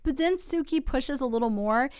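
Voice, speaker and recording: A woman's voice: sits high, animated, normal speed, a little mellow, clean tone, no room sound, clean studio sound. Chatty woman recap host, getting over a cold. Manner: chatty, opinionated, asks viewers to weigh in. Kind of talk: casual commentary recap.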